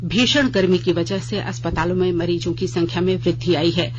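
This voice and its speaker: A news reader presents a scripted radio bulletin with a formal, measured delivery. The voice sits at a middle pitch. The recording is dull and lo-fi, with faint noise and hum behind it. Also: fairly even intonation.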